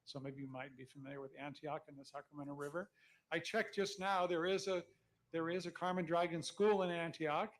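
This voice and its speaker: A man's voice: on the high side, steady tone, a little fast. A man from California talking to an audience from the stage as conductor of a concert, in a conversational way with small restarts. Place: outdoor concert.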